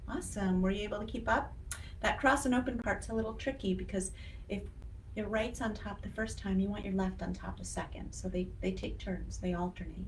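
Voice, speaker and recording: A woman's voice: mid-range voice, fairly even intonation, brisk, low-quality recording. A woman giving a casual, encouraging educational talk to parents, conversational with emphatic stresses on key words. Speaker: children's literacy educator and blog host. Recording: clear background noise.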